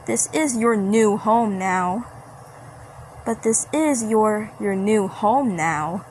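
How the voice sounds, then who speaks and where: A woman's voice: medium pitch, slow, a little mellow, close to an everyday voice, rough recording. A woman voicing sweet yet menacing candy creatures in a horror cartoon fan dub. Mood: sweet, sinister. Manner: sweet, disturbing, repeating. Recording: faint background noise.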